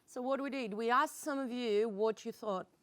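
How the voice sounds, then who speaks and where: A woman's voice: on the high side, normal speed, heavy background noise. A young woman co-hosting a studio show, enthusiastic and bubbly. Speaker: host. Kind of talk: studio presenting.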